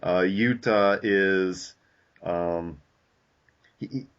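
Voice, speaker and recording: Young male podcast host, low voice, hesitant and filler-heavy, muffled home recording. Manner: halting, many fillers and restarts. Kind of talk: casual podcast discussion. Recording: lo-fi dull audio.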